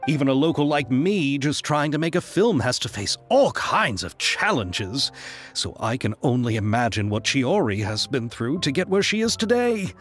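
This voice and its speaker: A man's voice: medium pitch, big pitch swings, normal speed, faint music behind, natural voice. A man playing an earnest, thoughtful filmmaker in a video game, articulate and explanatory. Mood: sympathetic, reflective. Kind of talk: video game character dialogue.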